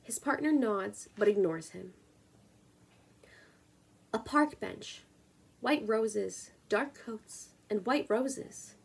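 A woman in her twenties reading poetry and chatting casually on her own video at home, in a measured, deliberate way. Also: medium pitch, lively intonation, vocal fry, a little mellow, breathy edge. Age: 20s. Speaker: poetry reader and video creator. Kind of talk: spoken word poetry reading with casual introduction. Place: small room at home, indoors.

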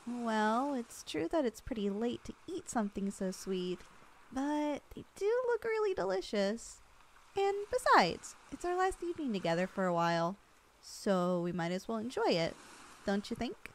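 A young woman performing an ASMR roleplay as a loving girlfriend, talking softly with an affectionate, teasing manner. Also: on the high side, lively intonation, moderate pace, a little hiss or hum.

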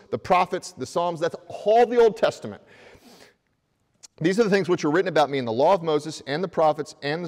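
A middle-aged man preaching a sermon from a church pulpit, reading scripture and rising in pitch with energy as he presses his points. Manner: animated preaching with raised hands, voice climbing when stressing a point. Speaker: pastor. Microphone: far camera. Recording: long church reverb.

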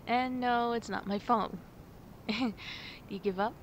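A woman's voice: fairly high pitch, steady tone, slow, a little hiss or hum. A woman playing a nervous, twitchy wolf girlfriend in an ASMR roleplay, stammering and hesitant as she hides something. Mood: nervous, evasive.

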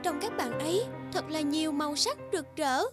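A woman voicing a gentle, generous older-sister flower in a children's cartoon fairy tale. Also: high voice, lively intonation, moderate pace, loud music behind the voice. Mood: kindly. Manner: soothing, caring.

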